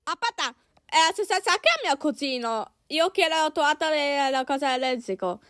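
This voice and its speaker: A woman's voice: high-pitched, animated, normal speed, fairly bright tone, dry recording, studio quality. A woman doing an amateur cartoon fan dub, acting out several characters in an exaggerated, exclamatory way.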